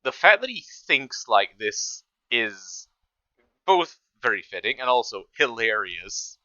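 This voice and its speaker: A man's voice: medium pitch, very animated, slow pace, pushed and bright, dry recording, highs cut off. A man giving casual off-the-cuff reaction commentary, rambling and stammering as he restarts his sentences. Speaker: reaction video host.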